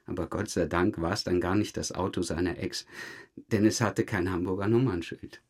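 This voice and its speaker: An actor playing a methodical, calm police detective in an audio crime drama, measured, understated and politely insistent. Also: male voice, low voice. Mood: matter-of-fact. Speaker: police investigator.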